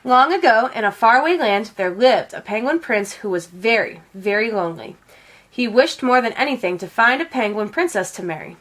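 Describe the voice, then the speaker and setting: A woman reading a children's picture book aloud as an expressive storyteller. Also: fairly high pitch, moderate pace, poor audio. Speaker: read-aloud storyteller, teacher.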